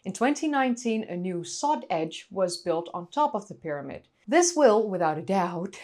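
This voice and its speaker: Dutch-accented woman with very lively intonation. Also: medium pitch, moderate pace. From North Holland, Netherlands. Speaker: history video presenter. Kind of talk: scripted educational narration with casual asides to viewers.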